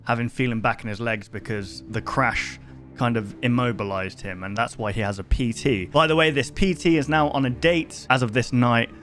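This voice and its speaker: A man's voice: mid-range voice, normal speed, a bit bright. A man recapping a story in a casual, conversational commentary style, often thinking aloud as he goes. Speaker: host of a horror book review video. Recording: music behind the voice. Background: music.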